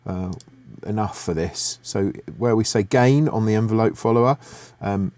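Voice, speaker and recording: Middle-aged guy walking thru an audio plugin tutorial, lowish voice, dry close sound, lots of ums and restarts. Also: normal speed, dry recording. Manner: relaxed, thinking aloud with many fillers and restarts. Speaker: music production tutor. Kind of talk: screen-share software tutorial. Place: home studio room.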